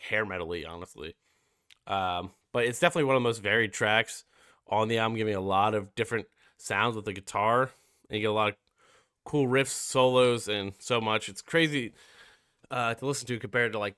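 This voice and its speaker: Young male reviewer, stuffy and nasal from a cold, low-ish voice, dry close sound, loose off-the-cuff rambling. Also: sharp tone, clear, resonant tone, no room sound. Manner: rambling, many fillers and swearing. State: sick and stuffy. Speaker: music reviewer. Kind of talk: casual album review.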